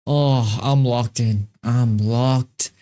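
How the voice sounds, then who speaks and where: A man's voice: mid-range voice, steady tone, extremely slow, mellow. A man hosting a reaction video, talking casually and emphatically, repeating himself and swearing freely.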